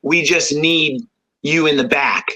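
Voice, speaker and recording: A man who fronts a rock band, chatting casually on a radio show with a high voice, rambling with fillers and easy laughs. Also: slow pace, pushed and bright. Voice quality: unusually high voice. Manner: rambling, many fillers and restarts, laughs easily.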